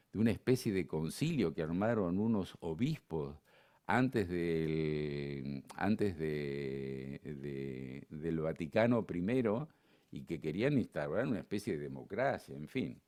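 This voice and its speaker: An older man with an Argentine accent and a low, deep voice, giving a lecture. He talks in a loose, conversational way, drifts into asides, and fills the gaps with little hesitations. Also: animated, measured pace, dark tone. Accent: Argentine Spanish, not Buenos Aires. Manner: digressive, conversational, with frequent fillers. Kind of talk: conference lecture.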